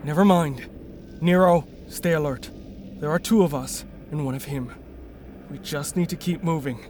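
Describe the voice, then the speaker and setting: A man playing a wary, protective, analytical rebel in a sci-fi radio drama, speaking tersely and deliberately. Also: on the high side, a little mellow, a little hiss or hum, lightly acted. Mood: wary, commanding. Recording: music behind the voice.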